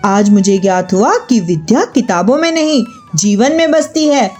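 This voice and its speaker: A woman's voice: medium pitch, animated, normal speed, darkish tone, husky, faint music behind. A woman voicing a learned king in a children's story, formal and commanding. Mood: humbled, solemn. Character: proud, learned Indian king questioning his subject.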